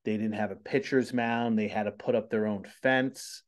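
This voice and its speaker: A man's voice: lowish voice, steady tone, normal speed. A man, hosting a casual interview over video call from a small home studio, chatty and rambling. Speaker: host. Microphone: studio mic on boom arm.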